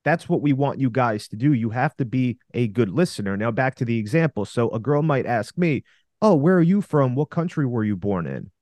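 A man's voice: mid-range voice, animated, brisk, darkish tone, dry recording. A man co-hosting a podcast, coaching male listeners on dating with emphatic, direct advice. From Russia. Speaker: podcast co-host.

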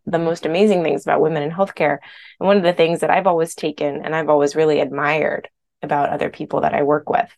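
A woman hosting her own podcast as a weight loss coach, a little hoarse from a sore throat, talking in a chatty, candid way. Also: low voice, a little mellow. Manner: chatty, candid, uses filler words. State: hoarse, recovering from strep throat. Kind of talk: casual podcast monologue.

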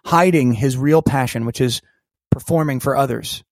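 A man telling a personal story as a podcast guest, lively and acting out the voices of people in it. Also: mid-range voice, normal speed, dry recording, studio quality. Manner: animated storyteller who acts out quoted dialogue. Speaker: guest, keynote speaker and coach.

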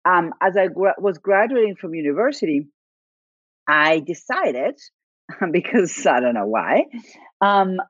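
Argentine lady in her 40s, spanish accent, lowish voice, dry kinda dull audio, laughs a lot telling stories. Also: slightly rough, dry recording. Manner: reflective, laughs often, many restarts. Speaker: guest. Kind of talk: casual interview storytelling.

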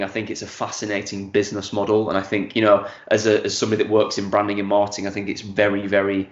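A man's voice: lowish voice, echoey room, muffled recording. A man, chatting as a podcast guest and often repeating words as he thinks. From northern England. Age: 40s. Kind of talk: casual interview chat.

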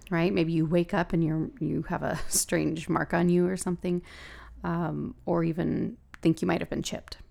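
A woman with a gravelly voice hosting a podcast, talking casually and haltingly as she thinks aloud. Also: on the low side, darkish tone. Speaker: podcast host and hypnosis practitioner. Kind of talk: casual solo podcast talk.

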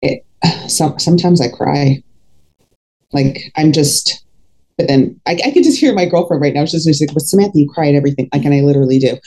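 A woman's voice: sits low, lively intonation, fairly quick, warm tone. A woman in her fifties talking candidly in an interview, outspoken and emphatic. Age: 50s. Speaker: podcast guest, fitness coach.

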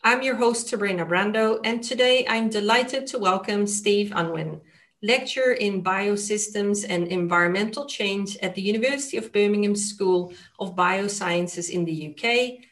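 Woman, podcast host, enthusiastic, upbeat, mid-pitched voice. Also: normal speed. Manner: warm and enthusiastic, repeats wonderful. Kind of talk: podcast interview hosting.

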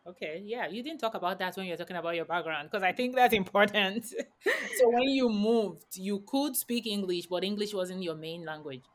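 A woman's voice: medium pitch, moderate pace. A woman hosting a podcast, asking long, rambling questions in several parts and reacting casually to her guest. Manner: asks long, rambling multi-part questions. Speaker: podcast host.

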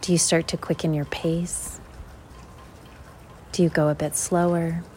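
A woman leading a guided visualization in an unhurried, measured way, leaving long pauses between short phrases. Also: deep voice, flat delivery, a little mellow, slight background noise. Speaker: guided meditation host.

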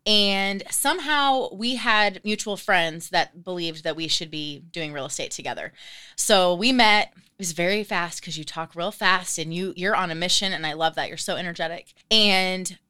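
A Midwestern woman in her forties hosts a relaxed interview, sounding eager and chatty. Her voice is bright and smooth. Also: lowish voice, normal speed. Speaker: podcast host. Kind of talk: casual interview chat.

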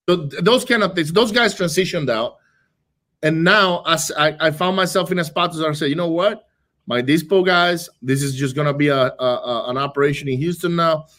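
A man from Venezuela, a business owner, talking casually in an interview, quick and animated, often restarting and repeating words. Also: on the high side. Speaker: real estate wholesaling business owner.

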